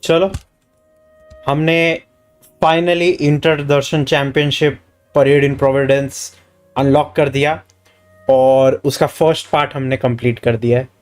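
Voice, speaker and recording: Male gaming streamer, casual host delivery, very quick, mid-pitched, faint music behind. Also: fairly even intonation. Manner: informal, mixes English into speech. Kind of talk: casual game-stream commentary. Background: faint game music.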